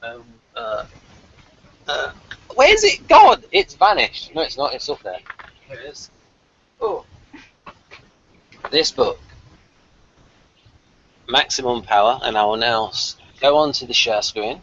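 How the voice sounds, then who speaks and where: Animated, creaky-voiced man hosting a chat. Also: medium pitch, slow pace, pauses often. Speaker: host of a review discussion. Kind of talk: casual unscripted chat. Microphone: video call. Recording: dull lo-fi video call audio.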